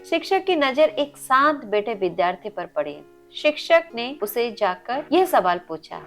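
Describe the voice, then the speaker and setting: A woman's voice: fairly high pitch, very animated, unhurried, a bit bright. A woman storyteller reading a scripted inspirational moral story in an earnest, instructive way. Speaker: storyteller and channel host. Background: faint background music.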